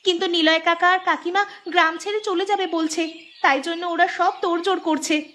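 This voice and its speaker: A woman's voice: extremely high pitch, steady tone, brisk, a little hiss or hum. A woman voicing an earnest, poor young village girl in a cartoon drama. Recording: loud music behind the voice.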